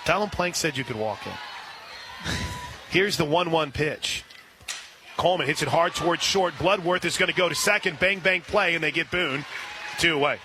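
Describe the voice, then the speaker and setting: An American man doing live radio play-by-play of softball, chatty and folksy between pitches. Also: moderate pace, long pauses. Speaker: play-by-play announcer. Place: outdoor softball stadium. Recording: noisy outdoor ballpark broadcast. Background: loud ambient ballpark noise.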